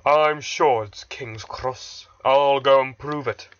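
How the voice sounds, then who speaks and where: A man's voice: mid-range voice, animated, slow, bright, forceful tone, muffled recording, pitched below the performer's own voice. A man voicing a proud, grumpy, impatient steam engine in a pompous, crotchety storybook manner. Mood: stubborn.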